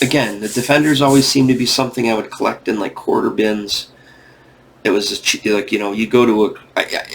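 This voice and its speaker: A man from the United States hosting a comic book video, rambling casually, tired and going off on tangents, with some gravel in his voice. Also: lowish voice, fairly bright tone. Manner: meandering, restarts often, goes off on tangents. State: tired, yawning.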